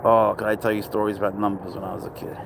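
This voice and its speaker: A man's voice: on the low side, level tone, fast, background noise. A man who grew up in Spain, hosting a casual livestream, rambling as he reads out and answers viewers' comments. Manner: chatty, rambling, answers chat questions aloud. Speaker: livestream host.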